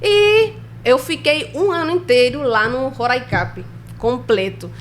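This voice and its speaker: A young woman with a Spanish accent and Spanish as her first language, telling her story as a podcast guest in an animated way and acting out dialogue. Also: high-pitched, measured pace, a little hiss or hum. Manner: animated, laughs often, acts out dialogue. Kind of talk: casual storytelling interview.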